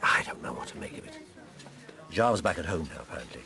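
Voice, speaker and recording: A low-voiced, jovial doctor in a radio play. His delivery swings expressively, teasing and clipped, with a busy hubbub behind him. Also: big pitch swings, fast talker, crowd noise. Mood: thoughtful. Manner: clubbable, teasing, clipped phrases. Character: jovial, teasing doctor, the inspector's old friend. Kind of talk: radio drama acting.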